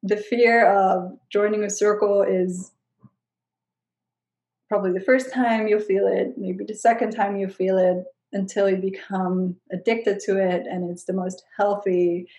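A woman from Switzerland and the Netherlands, a guest coach, who speaks in a reflective, soft-spoken way during a casual interview. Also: medium pitch, fairly even intonation, measured pace. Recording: roomy echo.

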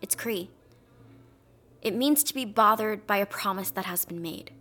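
An actress playing an earnest, defiant young daughter in an audio drama, speaking with intense, deliberate, poetic phrasing. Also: female voice, medium pitch, sharp tone, lightly acted. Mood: soft, pleading. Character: earnest, defiant teenage daughter preparing for ritual role. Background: music under the voice.

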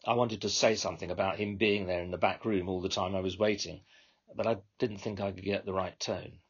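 Male author-narrator, British, husky mid-range voice, wry measured literary reading, slightly muffled sound. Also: low voice, steady tone. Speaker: author reading own short story. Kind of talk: scripted first-person literary narration.

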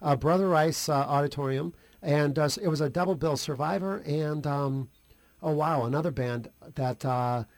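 Hoarse, higher-pitched talk radio host. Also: male voice, dry recording. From Chicago area. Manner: joking, halting with many fillers. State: hoarse from a flu. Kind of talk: casual radio interview chat.

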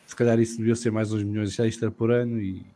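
A man hosting a podcast, reading listener messages in a brisk, matter-of-fact way. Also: fairly low pitch, level tone, dark tone. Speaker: podcast host.

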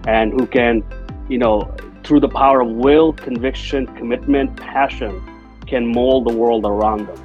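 A man in his forties from Bangladesh speaks as a podcast guest, thoughtful and earnest, pausing on fillers as he works through ideas. His voice sits at a middle pitch. The audio is a little muffled, with faint music in places. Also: fairly even intonation. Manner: reflective, earnest, with frequent fillers. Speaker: guest, entrepreneur. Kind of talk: conversational interview.

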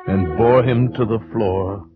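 Horrified gothic storyteller, muffled old radio. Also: male voice, lowish voice, slow pace. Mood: grave, dramatic. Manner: grave, ornate, theatrical delivery. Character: horrified male narrator, friend of a doomed gentleman. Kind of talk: 1940s American radio horror acting, dramatic narration. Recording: muffled vintage 1940s radio recording, orchestral music. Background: loud dramatic music.

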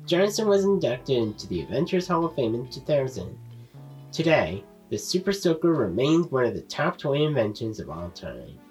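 Lively narrator over soft guitar. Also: mid-range voice, poor audio. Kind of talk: scripted narration, reading a biography aloud.

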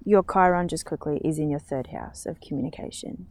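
A woman's voice: sits low, fairly quick. Encouraging woman in casual podcast chat. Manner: encouraging, animated, talks about astrology. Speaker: podcast co-host.

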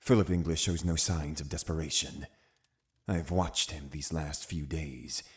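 An actor playing a cunning, zealous Puritan uncle in an audio drama, commanding and condescending with deliberate phrasing. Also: male voice, extremely low pitch, steady tone. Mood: scornful, brooding. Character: cunning, zealous Puritan uncle, a menacing witch-hunting authority. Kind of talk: full-cast audio drama acting.

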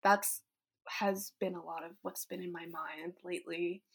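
A woman's voice: on the low side, fairly even intonation, unhurried, breathy edge. Teen girl from vietnam, activist type, just talking honestly about her life, thoughtful, says like and you know a lot. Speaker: teenage climate activist. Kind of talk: casual personal reflection.

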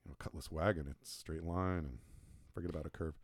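A man's voice: extremely low pitch, steady tone, brisk, dry recording. A man co-hosting a casual podcast chat, rambling and self-mocking as he tells stories. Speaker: podcast co-host and logo designer.

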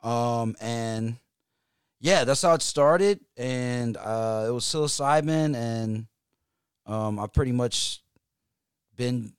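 Guy from north carolina on a podcast, lots of ums, smooth clear voice, dry. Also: medium pitch, dry recording. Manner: halting, lots of ums and restarts. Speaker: guest. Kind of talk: casual interview conversation.

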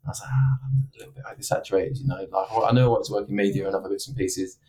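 A man's voice: on the low side, very fast, a little mellow. A man from Essex hosting a podcast, rambling and swearing casually as he asks questions. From Essex, England. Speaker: podcast host.

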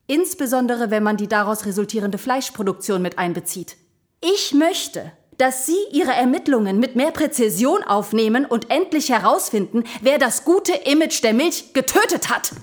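An actress playing a vain, seductive milk carafe in an audio drama, purring and condescending like an aging advertising diva. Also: female voice, fairly high pitch, close to an everyday voice. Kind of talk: scripted audio drama acting.

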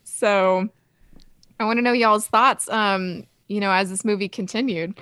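A woman's voice: medium pitch, steady tone, measured pace, fairly bright tone, clear, resonant tone, no room sound. A woman hosting a casual film discussion, speaking in an informal, conversational way. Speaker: podcast host.

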